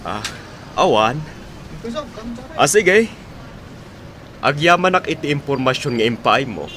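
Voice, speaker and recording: Radio drama cop, Filipino accent, mid-range voice, reproachful authority. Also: male voice, mid-range voice, animated, slow pace, pushed and bright. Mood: inquiring. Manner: firm, reproachful. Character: stern, lecturing male police officer.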